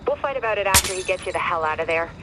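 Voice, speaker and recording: An actress playing a steely, tense mission coordinator in a game, giving clipped, urgent orders with authority. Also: female voice, on the low side, animated, very fast, noisy background, natural voice. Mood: brisk. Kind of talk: dramatic game voice acting.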